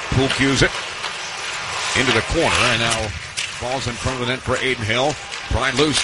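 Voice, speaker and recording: A man with a North American accent calling live ice hockey play-by-play on the radio, in clipped running description with dry asides. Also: medium pitch. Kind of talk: live sports play-by-play commentary. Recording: live sports radio broadcast, loud arena noise. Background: loud arena ambience.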